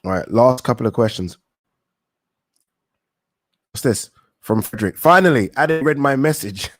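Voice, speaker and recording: A man's voice: medium pitch, lively intonation, normal speed, a bit bright, no room sound. A young man talks through viewers' boxing questions in a loose, rambling live-stream style. He swears offhandedly and corrects himself mid-sentence. His mid-range voice sits dry and close to the mic. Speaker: boxing talk show host.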